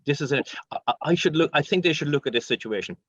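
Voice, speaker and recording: A man's voice: on the high side, animated, quick pace, a bit bright, no echo. A man giving an unscripted answer as a panel speaker. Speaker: panel speaker from aviation industry. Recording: dull, dry lo-fi audio.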